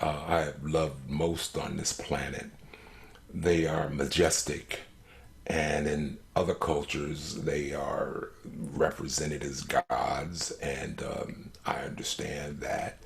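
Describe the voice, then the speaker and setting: A man with an extremely deep, gravelly voice talks slowly and reverently. He pauses often between phrases, as if reflecting in an interview. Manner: reverent, halting, deliberate. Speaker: stage actor in an audio play.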